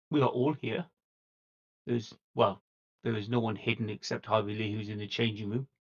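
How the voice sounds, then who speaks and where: Man as earnest vampire envoy in tabletop play: creaky mid-pitched voice, measured and persuasive, dull lo-fi livestream sound. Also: close to an everyday voice. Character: earnest, diplomatic vampire envoy seeking a truce. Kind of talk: in-character tabletop roleplay dialogue.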